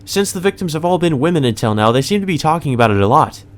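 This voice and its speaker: A man voicing a cheerful, polite young maid woman with a sweet, gently teasing manner in a visual novel read aloud. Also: fairly high pitch, animated, fast, voice pushed up, close to an everyday voice. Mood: gently concerned. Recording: music under the voice.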